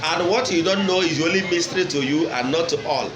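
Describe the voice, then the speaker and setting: High-voiced Nigerian Igbo man lecturing, echoey recording. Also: fairly quick. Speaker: traditional religion teacher and online video host. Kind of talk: unscripted religious lecture to camera. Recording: echoey room with clear background noise.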